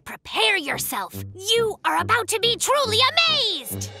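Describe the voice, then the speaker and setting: An actor voicing an excitable, boastful young polar bear cub in a children's cartoon, with exclamatory, dramatic delivery. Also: extremely high pitch, a little slow, gravelly, exaggerated voice. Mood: gleeful. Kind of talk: animated children's cartoon dialogue. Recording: children's cartoon with music bed.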